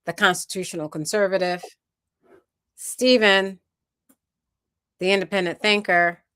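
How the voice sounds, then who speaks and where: A woman's voice: medium pitch, fairly even intonation, unhurried, fairly bright tone, smooth, no room sound, studio quality. An American woman hosting a live talk show, firmly moderating her panel.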